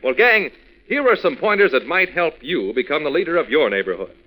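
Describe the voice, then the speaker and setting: Peppy, animated vintage radio announcer man. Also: on the high side, brisk, rough recording. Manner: peppy, instructive, chummy. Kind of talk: 1940s radio scripted address to children.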